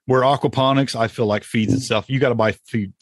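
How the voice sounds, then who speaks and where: A man's voice: mid-range voice, steady tone, fairly quick, dry recording. A man hosting a podcast, chatting casually in a folksy way as he explains things off the cuff. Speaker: podcast host. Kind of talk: casual chat about home gardening.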